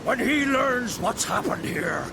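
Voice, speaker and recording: An actor playing a pompous, indignant older druid scholar in a video game, with a theatrical, scolding manner. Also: male voice, extremely high pitch, lively intonation, measured pace, gravelly, noisy background, full character voice. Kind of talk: video game cutscene acting. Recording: music and effects behind.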